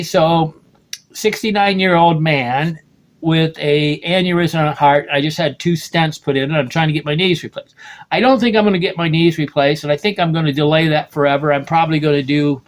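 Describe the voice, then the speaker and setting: Rambling man in his sixties. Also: on the high side. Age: 60s. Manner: rambling, restarts sentences, keeps asking "okay?". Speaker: video blogger. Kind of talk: rambling personal monologue.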